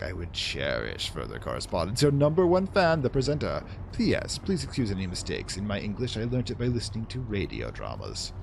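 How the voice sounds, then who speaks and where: A man with a low, lively voice gives casual video game commentary. He is wry and digressive and turns theatrical when reading text aloud, with faint noise behind him. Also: vocal fry. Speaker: let's play host.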